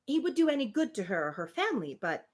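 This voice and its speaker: A woman's voice: fairly high pitch, big pitch swings, quick pace. A woman reading a spirited, opinionated young woman from a Regency novel, emphatic and formal. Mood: indignant.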